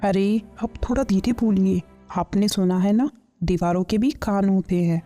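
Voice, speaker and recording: A woman's voice: medium pitch, moderate pace, warm tone, natural voice. A woman playing a greedy, scheming village farmer's wife in a kids' cartoon, with a nagging, commanding manner. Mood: hushed nagging. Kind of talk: Hindi kids' cartoon story dialogue. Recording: music under the voice.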